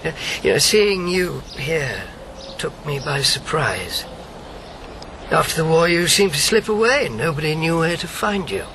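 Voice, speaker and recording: Sardonic old ex-codemaster, raised pitch. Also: male voice, sing-song, unhurried, noisy background. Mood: reserved, hesitant. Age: 70s. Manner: sardonic, clipped. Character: dry, bitter old former male wartime codemaster. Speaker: actor in a radio drama. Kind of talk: radio drama acting.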